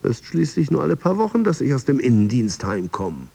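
Husky, creaky stressed office man. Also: medium pitch, sing-song, a little fast, warm tone, full character voice. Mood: agitated. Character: stressed male office employee in self-improvement coaching. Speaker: actor in a radio drama. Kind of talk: radio drama monologue and chanted affirmations.

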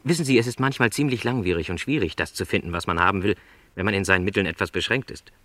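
A man's voice: sits low, fast talker, a bit bright, no echo. An actor playing a lonely, dreamy middle-aged travelling salesman in a radio drama, speaking in reflective, rhapsodic literary phrases. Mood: elated, rapt.

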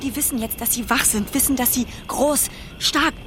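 A woman's voice: sits high, moderate pace, background noise, lightly acted. An actress playing a fearless, protective young woman in a German radio play, giving urgent commands in short phrases. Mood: urgent, pleading. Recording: loud music behind the voice.

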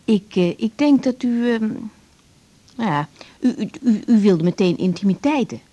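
Actress doing young lady doctor in a radio play, soft dark husky voice, careful and reserved. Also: mid-range voice, animated, normal speed, close to an everyday voice. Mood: mildly teasing. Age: young adult. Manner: careful, tactful, a little hesitant. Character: young woman doctor, tactful, reserved, patient. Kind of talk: 1980s Dutch radio drama acting.

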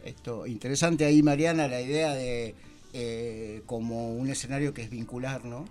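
An Argentine man hosting a radio talk show, speaking informally and haltingly as he searches for words in a discussion. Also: mid-range voice, steady tone, darkish tone. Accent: Argentine Spanish. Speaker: radio host. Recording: music under the voice. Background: music.

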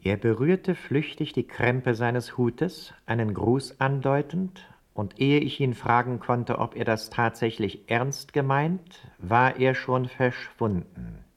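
A man's voice: mid-range voice, lively intonation, takes it slowly, hardly any pauses. An actor playing a servile informant who narrates his formal report in ornate for a radio play. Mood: measured, intrigued.

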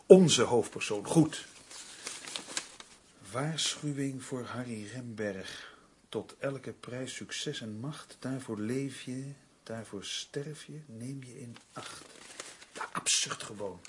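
A man's voice: medium pitch, slow, a little mellow, slight background noise, lightly acted. An actor playing a brooding, put-upon male writer in a Dutch radio play, in narration and dialogue. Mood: resigned, wary.

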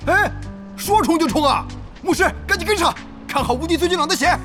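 A man's voice: extremely high pitch, expressive, fairly quick. A man playing an earnest, harried guild leader in a Chinese audio drama, polite and often flustered. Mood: urgent. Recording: music behind the voice.

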